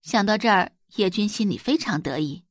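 Woman audiobook narrator for serial fiction; dramatised delivery, lively pitch movement, dry studio-like sound. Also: brisk, no room sound. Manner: expressive, dramatised storytelling. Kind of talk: scripted audiobook narration of a web novel.

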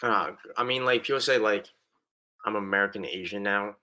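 A man who is a guest in a casual interview, answering in a hesitant, halting way. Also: lowish voice, bright, forceful tone. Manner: hesitant, halting, with many "um" and restarts. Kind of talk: casual conversation.

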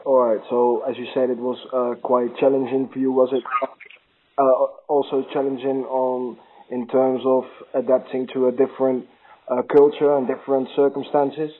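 Flat-voiced man interviewing by phone. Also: medium pitch, slight background noise. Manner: halting, repeats words and restarts. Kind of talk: interview questions. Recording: tinny phone-line audio.

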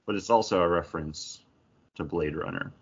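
A man's voice: deep voice, moderate pace, gravelly, slightly rough, highs cut off. A man co-hosting a podcast in casual, conversational talk. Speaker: podcast co-host.

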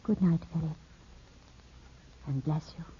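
A woman's voice: deep voice, measured pace, slight background noise. A woman playing a charming, teasing, composed lady in a 1950s radio drama, with a genteel, coaxing, theatrical delivery. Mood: tender, soothing. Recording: dull, slightly husky-sounding 1950s radio broadcast.

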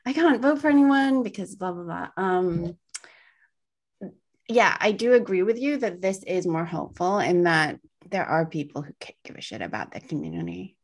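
A woman with a soothing voice that sits a little low in pitch. She chats casually as a podcast co-host. She wanders through her thoughts with fillers and restarts. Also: animated, moderate pace, creaky. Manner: meandering, self-correcting sentences with many fillers. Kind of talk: casual conversation.

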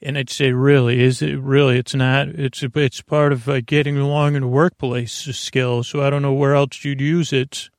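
A man hosting a bedtime podcast in a gravelly voice, rambling haltingly, interrupting himself and drifting off on tangents. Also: medium pitch, little pitch movement, dry recording, studio quality. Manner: meandering, halting, self-interrupting, tangent-prone. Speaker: podcast host.

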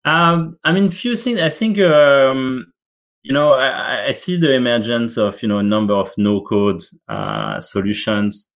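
A man's voice: mid-range voice, sing-song, measured pace, vocal fry. A man, speaking as a guest in a casual interview, hesitant and full of fillers and restarts.

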